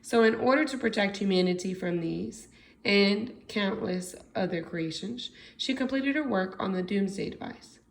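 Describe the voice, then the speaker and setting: A woman's voice: mid-range voice, moderate pace, creaky, slight background noise, low-quality recording. A woman with an American accent reading a storybook aloud expressively, as a teacher does for young children. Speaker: second grade teacher.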